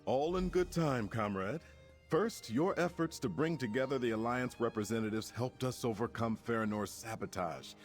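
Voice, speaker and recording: An actor playing a resolute, formal male commander in a fantasy video game, speaking in a measured, stately way over music. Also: medium pitch, lightly acted. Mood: grave, determined. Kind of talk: scripted game dialogue.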